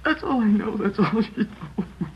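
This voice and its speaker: A man's voice: high-pitched, lively intonation, a little hiss or hum. A shaken teenage boy. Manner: halting, upset, voice rising on the worst parts. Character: shaken teenage boy whose mother was killed. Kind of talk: 1950s radio drama acting. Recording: dull lo-fi old radio broadcast.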